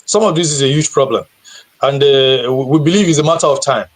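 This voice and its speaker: A man's voice: mid-range voice, brisk. A man from Nigeria, a guide and teacher, answering questions earnestly on a video call, often repeating words as he searches for them. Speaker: guest tour guide and teacher. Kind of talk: live stream interview answer.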